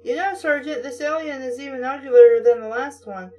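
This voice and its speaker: A woman's voice: high-pitched, normal speed, warm tone, soft background music, natural voice. Woman reading as a bossy boy playing soldier. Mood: bossy playacting. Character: bossy boy playing military alien-hunting commander. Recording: distant mic.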